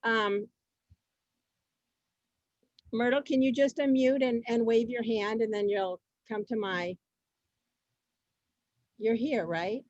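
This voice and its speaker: High-voiced woman host, dry close sound. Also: fairly even intonation, takes it slowly, pauses often, darkish tone, no echo, muffled recording. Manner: flustered, repeats her phrases. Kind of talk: informal live hosting of an online event. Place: indoors, on a video call. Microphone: video call.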